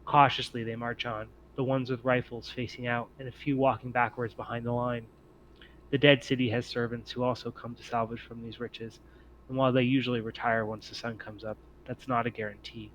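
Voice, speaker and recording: A man reading scripted, descriptive scene-setting narration as game master of a tabletop game, in a measured storytelling way. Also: mid-range voice, flat delivery, fairly bright tone, poor audio. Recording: noticeable background noise.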